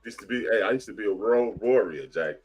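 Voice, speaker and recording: A man's voice: fairly low pitch, brisk, breathy edge. A man from the Chicago area, casual podcast co-host, talking animatedly and trailing off when searching for a word. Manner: animated, loud bursts when excited, trails off searching for words. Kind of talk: casual chat.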